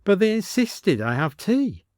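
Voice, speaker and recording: A man's voice: high-pitched, big pitch swings, unhurried, a little mellow, dry recording, clean studio sound, pitched above the performer's own voice. A man voicing a wary, down-to-earth female nurse with a plain-spoken, sceptical manner in an audio story. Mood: puzzled.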